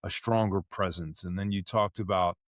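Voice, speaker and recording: A man's voice: deep voice, fairly even intonation, normal speed, dry recording, clean studio sound. A man hosting a podcast interview, talking casually and chattily, often repeating and restarting words. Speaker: podcast host.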